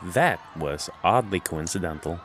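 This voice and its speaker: A man's voice: low voice, animated, a little slow, slight background noise. A man playing a jittery, wry, enthusiastic teenage boy in an audio drama.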